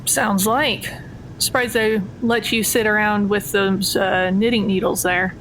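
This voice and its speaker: A woman's voice: steady tone, measured pace, fairly bright tone, lightly acted, poor audio. A woman playing a guarded, sardonic jailed thief in a tabletop game, terse and dry. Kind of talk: improvised tabletop roleplay. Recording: rumbly background noise.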